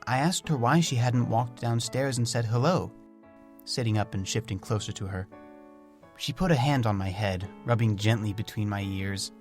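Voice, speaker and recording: A man voicing a gentle, naive, curious young pet creature, narrating an audio storybook in simple, thoughtful phrases with soft wonder. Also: fairly low pitch, moderate pace, lightly acted. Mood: tender. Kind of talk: first-person storybook audio drama narration. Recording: music under the voice. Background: music.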